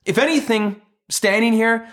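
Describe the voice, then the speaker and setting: An actor playing an earnest, persistent romantic man with a husky voice, sincere and pleading in a naturalistic audio drama style. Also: extremely high pitch, a little slow, fairly bright tone. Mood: impassioned, pleading.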